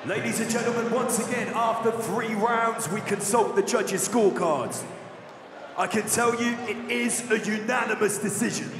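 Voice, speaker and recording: A man making a formal ring announcement, loud and drawn out over an arena sound system. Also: high-pitched, fairly even intonation, moderate pace. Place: boxing ring in a large indoor arena. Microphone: announcer's microphone through PA. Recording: echoey arena PA, crowd, noise.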